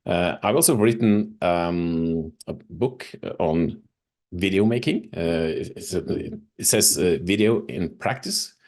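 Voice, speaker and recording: A man's voice: low voice, lively intonation, slow, a little mellow. A man from Norway with a Norwegian accent, a non-native English speaker, presenting over a video call with hesitant speech and many fillers.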